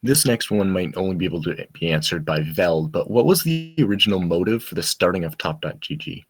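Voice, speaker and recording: A man's voice: low-pitched, animated, a little fast, faint music behind. A man moderating a Q&A, reading out audience questions in a plain, matter-of-fact way.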